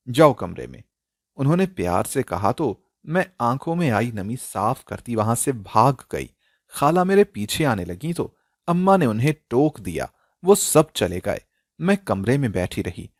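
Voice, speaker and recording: Animated male story narrator, dry sound. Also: fairly low pitch, dry recording. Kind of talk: scripted dramatic story narration.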